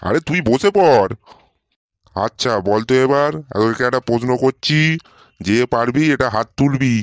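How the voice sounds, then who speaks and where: A man's voice: medium pitch, normal speed, dry recording, close to an everyday voice. A man voicing a blustering, easily exasperated male quiz teacher in a comedy cartoon, scolding and exclaiming.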